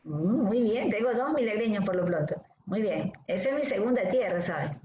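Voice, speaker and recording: A woman from Guayaquil, a lecturer briskly calling the roll in an online class over a video call. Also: mid-range voice, expressive. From Guayaquil, Ecuador. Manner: brisk, friendly, calling names. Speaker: dermatology lecturer. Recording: echoey dull video-call audio with faint hiss.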